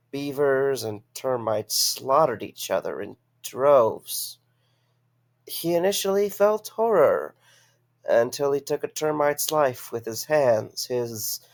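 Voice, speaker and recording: A man acts out a wry, practical visitor in an audio drama. His voice is medium in pitch with plenty of vocal fry. He sounds matter-of-fact and a little sceptical. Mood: dramatic reading.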